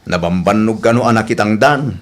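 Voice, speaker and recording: A man's voice: fairly low pitch, brisk, natural voice. A man with an Ilocano accent playing a teasing, gossipy married uncle in a radio comedy drama.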